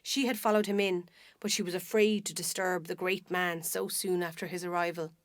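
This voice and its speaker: A woman with an Irish accent narrating a literary short story in a measured, expressive storytelling manner. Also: fairly low pitch, no echo, studio quality. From Ireland. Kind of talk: scripted dramatic literary narration.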